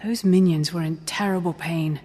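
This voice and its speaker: A woman's voice: on the low side, steady tone, normal speed, mellow, slightly rough. An actress playing a serious, concerned woman adventurer in a video game, speaking in an earnest, questioning way. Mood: concerned wonder. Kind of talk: video game character dialogue.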